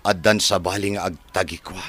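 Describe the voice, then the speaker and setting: A man playing a wounded but resolute former boyfriend in a radio drama, grave and measured, with a Filipino Ilocano accent. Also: low voice, fairly even intonation, natural voice. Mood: hurt, resolute.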